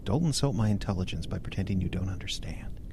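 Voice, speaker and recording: An actor playing a flustered, evasive senior pastor in a horror audio drama, halting and fussy. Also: male voice, low-pitched, lively intonation, noisy background. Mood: hushed, evasive.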